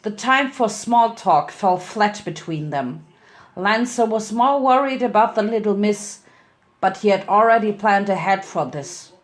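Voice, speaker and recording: A woman's voice: mid-range voice, lively intonation, a little slow. A woman giving a dramatic narrated reading of a story. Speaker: fan fiction reader.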